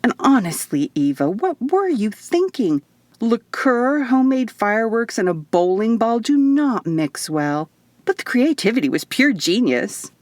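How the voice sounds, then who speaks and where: A woman's voice: fairly high pitch, sing-song, a little slow, a little mellow, dry recording, voice pushed up. A woman voicing a crazy, cheerful, pixie-like little woman in an audiobook, with a perky, breezy, rambling manner. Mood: gleefully teasing.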